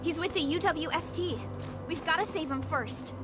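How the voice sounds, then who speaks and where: Actress as composed woman tactical officer over loud music. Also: sits high, natural voice. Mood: urgent. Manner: measured, formal, precise. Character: composed female tactical officer, formal and earnest. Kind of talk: video game voice acting.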